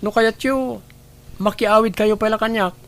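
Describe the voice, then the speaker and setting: A man's voice: sits high, steady tone, unhurried, a bit bright, smooth. A man playing a kind, patient young restaurant worker in a radio drama, polite and caring. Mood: polite, concerned. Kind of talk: Ilocano radio drama acting.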